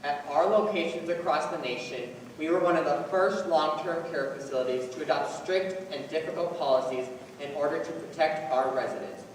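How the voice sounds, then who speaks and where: A man on stage playing a measured, official care-company spokesman giving a scripted speech. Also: a little high, close to an everyday voice. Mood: formal, measured. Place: on stage in a large hall. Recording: echoey hall from far off, faint noise.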